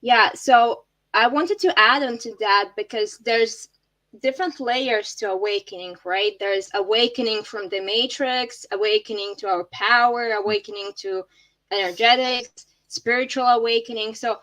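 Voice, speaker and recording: A woman's voice: a little high, normal speed, pushed and bright, poor audio. A woman from Latvia, for whom English is a third language, speaking earnestly as an interview guest, often laughing and restarting words. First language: not English. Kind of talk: casual interview.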